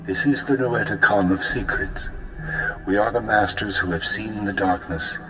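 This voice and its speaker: A man's voice: lowish voice, normal speed, over music, close to an everyday voice, low-quality recording. A man voicing a solemn ancient Jedi master spirit in a video game, formal and cautionary. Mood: ominous, solemn.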